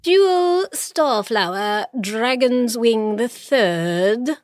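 A woman's voice: on the high side, lively intonation, drawn-out words, a little mellow, no room sound, clean studio sound, pitched above the performer's own voice. A woman voicing a haughty dragonfly queen, a scolding mother who lectures imperiously in a children's storybook. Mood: stern, disdainful.

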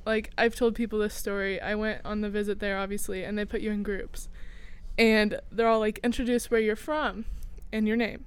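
A woman's voice: mid-range voice, little pitch movement, moderate pace, clear, resonant tone. A teenage girl from Indiana, a student co-host, chatting in a casual, storytelling way with many fillers. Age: teens. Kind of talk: casual chat.

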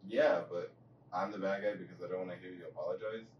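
A man's voice: low-pitched, steady tone, quick pace, highs cut off. A man in casual podcast chat, telling stories with quoted lines and plenty of swearing. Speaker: podcast participant. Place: echoey room, away from the mic. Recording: distant mics, echoey room, faint noise.

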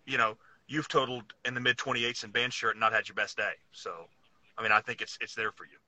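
A man's voice: mid-range voice, steady tone, quick pace, sharp tone, rough recording. A man co-hosting a casual sports podcast with emphatic, blunt banter, repeating phrases to drive his points home. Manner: blunt, casual slang and swearing. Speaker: podcast co-host.

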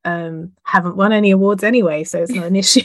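A woman's voice: medium pitch, fast talker, no room sound, clean studio sound. A woman hosting a casual podcast interview, hesitant and self-deprecating, laughing often. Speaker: podcast host and editor.

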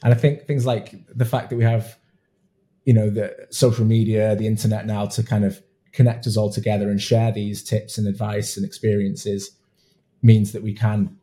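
A man's voice: lowish voice, little pitch movement, moderate pace, warm tone. A man hosting an interview, chatty and affirming, often restarting his sentences. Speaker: podcast host. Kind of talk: conversational interview.

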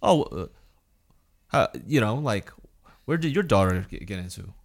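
A man from New York co-hosting a casual podcast, talking in halting bursts with many restarts and repeated words. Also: on the low side, lively intonation, vocal fry. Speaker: podcast co-host.

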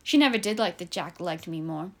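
A woman's voice: fairly low pitch, animated, brisk, fairly bright tone. A woman voicing a smug, spiteful young woman who speaks with a proud smirk, read from her own story. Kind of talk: reading own fiction aloud.

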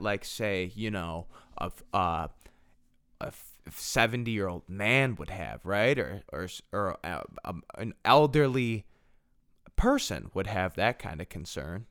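A man's voice: fairly low pitch, lively intonation, a little slow, a bit bright, smooth, dry recording, clean studio sound. A man in his twenties hosting a solo podcast, rambling casually and poking fun at himself, often repeating and restarting his phrases. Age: 20s. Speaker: podcast host.

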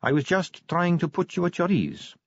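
A man's voice: high-pitched, animated, moderate pace, husky, no room sound, dull audio, pitched above the performer's own voice, heavily acted. A man voicing a young, boyish female vampire who is polite, earnest and forthright, in an audiobook story. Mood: reassuring.

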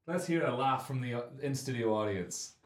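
A young man in his twenties hosting a comic chat show, loud and rambling, with a deep carrying voice that is a bit phlegmy. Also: fairly quick, background noise. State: phlegmy, clearing throat. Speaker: radio show host. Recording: echoey studio room.

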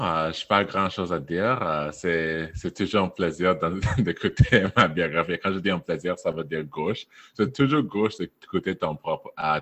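A young man with a Franco-Albertan French accent, a podcast guest talking in a relaxed, thoughtful way with many fillers. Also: deep voice. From Alberta, Canada. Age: young adult. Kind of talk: casual conversational interview.

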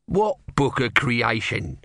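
A man's voice: medium pitch, very animated, slow pace, vocal fry, fairly bright tone, dry recording, clean studio sound, exaggerated voice. A man voicing a grumpy small god trapped as a tortoise, evasive and sardonic, in a storybook reading. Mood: irritable.

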